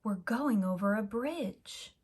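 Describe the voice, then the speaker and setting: A woman's voice: medium pitch, lively intonation, takes it slowly, darkish tone, a little hiss or hum. A woman voicing an eager, easygoing young boy cat from a storybook, drawn out and wide-eyed. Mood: awed.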